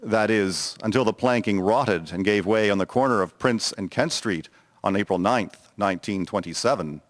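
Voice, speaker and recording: A man's voice: fairly low pitch, normal speed. A man reading scripted narration as the storyteller of an audio drama. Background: music.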